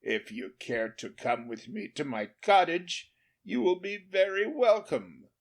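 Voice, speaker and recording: A man's voice: high voice, animated, unhurried, creaky, voice pushed up, full character voice. A man voicing a poor, honest charcoal burner in a storybook, blunt and plain-spoken but hospitable. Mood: welcoming.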